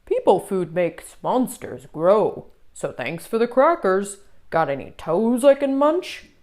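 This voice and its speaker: A woman's voice: mid-range voice, big pitch swings, slow, a little mellow. A woman voicing a big male storybook monster, growling, cheeky and playfully menacing.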